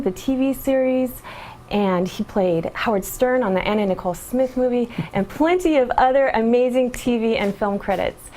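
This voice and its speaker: A woman hosting a casual online interview, playful and quick to laugh. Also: a little high, slight background noise. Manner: bubbly, playful, laughs often. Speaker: host.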